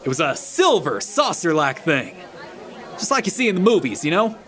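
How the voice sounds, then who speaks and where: A man's voice: fairly high pitch, big pitch swings, normal speed, a bit bright, soft background music, some chatter behind. A man playing a chatty, self-important, folksy farm owner in a radio drama, rambling boastfully as he is interviewed. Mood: eager, chatty. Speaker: farmer witness being interviewed.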